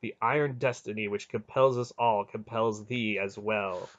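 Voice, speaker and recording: A man's voice: fairly low pitch, steady tone, normal speed, no echo, lo-fi sound, close to an everyday voice. A man voicing a firm, solemn woman in a storybook, speaking formally in archaic phrases.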